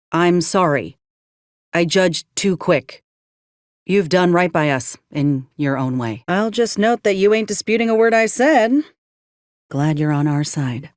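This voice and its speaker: A woman's voice: lowish voice, no echo, studio quality, natural voice. An actress playing a frank, caring young woman soldier in a game, earnest and plain-spoken with folksy turns of phrase. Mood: earnest, gentle, then frank, troubled. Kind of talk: video game companion dialogue.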